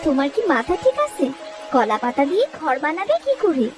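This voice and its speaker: A woman voicing a worried village wife and mother in a cartoon story, fretful and expressive. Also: high-pitched, a little fast. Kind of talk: dramatic cartoon story dub. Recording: loud music under the voice.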